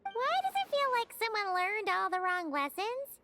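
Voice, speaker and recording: A woman voicing a cheerful, childlike little fairy companion in a video game, with a squeaky voice and a peppy manner. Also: sing-song, normal speed, background noise, heavily acted. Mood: wry. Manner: peppy, refers to herself in third person. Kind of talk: animated video game character acting. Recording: music behind the voice. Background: game music.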